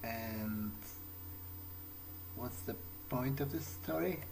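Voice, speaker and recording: Guy doing in-character lets play, really slow n hesitant, understated, bit of game noise behind. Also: medium pitch, vocal fry. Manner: hesitant, understated, musing to himself. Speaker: let's play host. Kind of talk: in-character game roleplay commentary.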